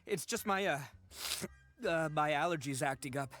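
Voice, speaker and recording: A man's voice: a little high, normal speed, fairly bright tone, close to an everyday voice. A man voicing a brash, dramatic young male oni fugitive in a video game, blustering and exaggerated. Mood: struggling, flustered. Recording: faint game music behind.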